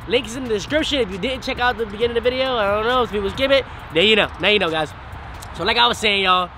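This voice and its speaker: A young man with a stuffy, nasal voice hosts a hyped, slangy vlog and keeps calling his viewers guys. His pitch sits high for a man. He talks over clear road and car noise. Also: pushed and bright. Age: young adult. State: stuffy-nosed from a sinus infection. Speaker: vlog host. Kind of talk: casual vlog chat. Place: outdoors on a road, then in a car.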